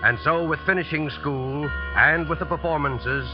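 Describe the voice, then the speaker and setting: Dramatic 1940s radio mystery host over music. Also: male voice, a little high, dull audio. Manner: formal, dramatic, deliberate. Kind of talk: 1940s radio scripted introduction.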